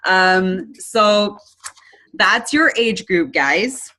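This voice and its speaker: A woman's voice: mid-range voice, lively intonation, drawn-out words, bright, forceful tone, poor audio. A woman hosting a casual online business presentation, chatty and upbeat, with frequent ums and restarts. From Canada.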